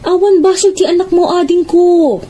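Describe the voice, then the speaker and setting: An actress with an Ilocano Filipino accent playing a kind, dutiful young elder sister in a radio drama. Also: female voice, very high voice, fairly even intonation, normal speed, dark tone. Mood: gently concerned.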